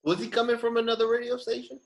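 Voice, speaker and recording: A man's voice: extremely high pitch, level tone, fast talker. A man hosting a podcast in casual chat, speaking in a slangy, emphatic way. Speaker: podcast host.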